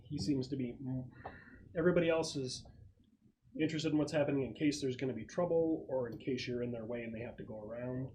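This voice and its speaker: A man's voice: mid-range voice, fast, warm tone, slight background noise, rough recording. A man acting as game master, improvising descriptions and explaining rules in a conversational, descriptive way. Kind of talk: improvised tabletop game narration.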